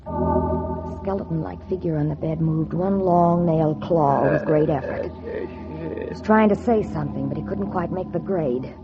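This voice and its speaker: A woman's voice: low voice, unhurried. An actress playing a wisecracking young American dance-hall woman posing as an heiress, in a slangy, wry 1940s radio style. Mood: tense, hushed. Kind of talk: 1940s radio acting, noir first-person narration. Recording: muffled 1940s radio recording with loud music.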